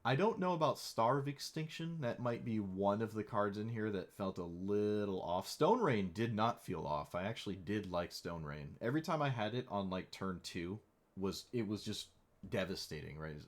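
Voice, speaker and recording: Young male streamer with a lowish, slightly hoarse voice and wry, chatty game commentary. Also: lively intonation, moderate pace. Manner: chatty, wry, playfully mock-dramatic. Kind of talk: casual gameplay commentary.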